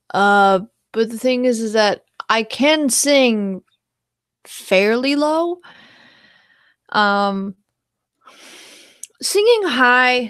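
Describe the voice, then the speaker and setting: A woman's voice: mid-range voice, a bit bright, smooth, no echo, studio quality. A young woman streaming casually, rambling about singing and breaking into short song snippets. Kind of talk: casual livestream chat with singing.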